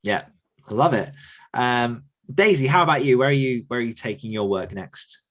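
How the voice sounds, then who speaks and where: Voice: medium pitch, animated, moderate pace. Casual host, mid-range voice, steering a live group chat over video call. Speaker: host of a writing podcast.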